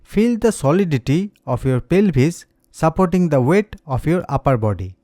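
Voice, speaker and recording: A man guides a relaxation meditation in a gentle, soothing way. His voice is soft-edged and mellow, a little high for a man, with pitch that rises and falls freely through each unhurried instruction. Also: very animated, dry recording, clean studio sound. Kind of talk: slow guided meditation, scripted narration.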